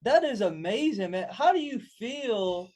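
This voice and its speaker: A man with a notably high voice hosts a sports talk radio show. He questions his guest in an upbeat tone and keeps praising him. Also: very animated, a little slow, a little mellow. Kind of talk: radio interview hosting.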